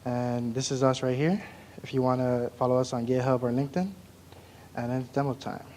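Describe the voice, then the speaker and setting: A man's voice: medium pitch, little pitch movement, a little fast, a little mellow, slight background noise. A young man from the United States presenting a class project, casual and candid with some restarts. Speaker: student presenter.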